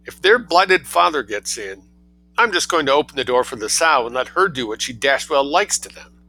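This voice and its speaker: A man's voice: medium pitch, sing-song, normal speed, sharp tone, voice pushed up, exaggerated voice, low-quality recording. A man voicing a scheming little boy who sounds sweet but speaks in a cheeky, matter-of-fact way. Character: cunning angelic-seeming little boy.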